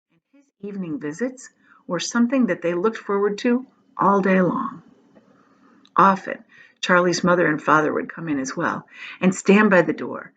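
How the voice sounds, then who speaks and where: A woman reading a children's story aloud as an expressive narrator, phrasing it deliberately. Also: mid-range voice. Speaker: storybook reader, teacher. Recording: slightly dull, lo-fi sound.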